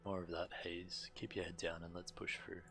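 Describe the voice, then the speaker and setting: Grim, very low-voiced fantasy adventurer. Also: male voice, flat delivery, moderate pace, gravelly, a bit bright, faint music behind. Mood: wary. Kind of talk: dramatic video game voice acting.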